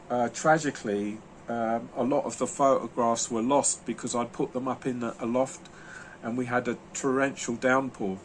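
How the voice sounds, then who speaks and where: A man's voice: mid-range voice, a little hiss or hum, poor audio. Rambling man reviewing books. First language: German. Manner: rambling, many fillers and restarts. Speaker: book reviewer. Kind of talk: casual video monologue.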